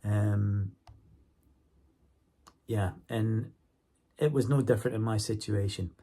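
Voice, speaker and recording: A Scottish man in his forties talks to his viewers in a low, gravelly voice that is roughened by a cold. He chats casually and keeps checking that his listeners are still with him. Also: takes it slowly, mellow. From Scotland. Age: 40s. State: has a cold. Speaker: YouTuber. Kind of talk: casual monologue to camera.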